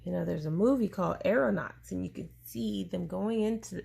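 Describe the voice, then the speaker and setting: A woman reading a children's science book aloud to a child in a deliberate, explaining way, pausing to answer questions. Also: medium pitch, gravelly, darkish tone, slightly rough.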